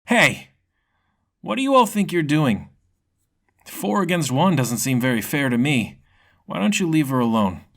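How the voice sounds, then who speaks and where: A man voicing a kind, modest demon swordsman hero in a fantasy story, earnest and halting. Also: a little high, sing-song, gravelly, a bit bright, voice pushed up, heavily acted. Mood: challenging.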